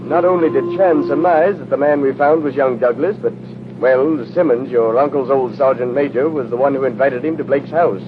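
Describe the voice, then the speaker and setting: An actor playing a brisk, genial police detective in a 1930s radio serial. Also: male voice, medium pitch, lightly acted. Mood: brisk, explanatory. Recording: tinny, noisy 1930s radio recording.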